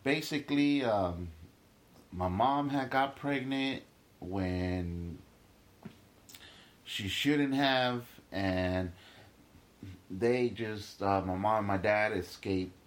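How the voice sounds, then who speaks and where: Emphatic swearing comedian, low voice. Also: male voice, very slow, pauses often. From Guatemala. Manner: emphatic, swearing, with stammered restarts. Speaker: stand-up comedian, guest. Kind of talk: casual podcast interview.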